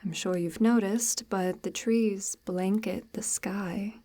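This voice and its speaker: Casting: woman as coaxing forest witch for ASMR roleplay; mellow, breathy, near-whispered, very unhurried, formal, softly menacing. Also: mid-range voice, fairly even intonation, close to an everyday voice. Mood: coaxing, eerily gentle. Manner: unhurried, formal, archaic-tinged, gently menacing. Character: soft-spoken, sinister, coaxing forest witch luring a lost traveler. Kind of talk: ASMR audio roleplay, slow intimate monologue.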